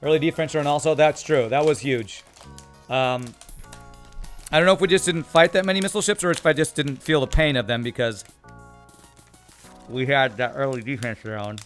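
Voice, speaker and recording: A man streaming a video game, chatting casually and reading chat aloud, with a calm voice that sounds tired. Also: mid-range voice, moderate pace, pauses often, faint music behind. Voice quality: calm, soothing voice. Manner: chatty, reads chat aloud, laughs often. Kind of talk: casual live-stream commentary.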